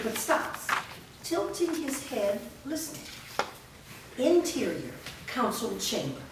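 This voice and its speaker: A woman reading scripted stage directions aloud as the narrator of a staged reading. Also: medium pitch. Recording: echoey room, far mic, clear noise.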